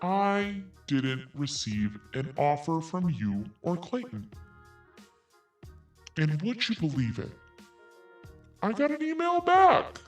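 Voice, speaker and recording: A man's voice: a little high, huge pitch swings, slow pace, long pauses, distant mic, faint music behind. A man hosting a commentary podcast, reading messages aloud in a sarcastic tone and swearing freely. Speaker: podcast host.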